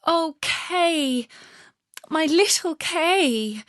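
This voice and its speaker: Casting: woman for a tender little girl in a fairy tale, high voice, anxious, pleading, emotional, dry recording. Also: animated, drawn-out words, a bit bright, dry recording, heavily acted. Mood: tearful.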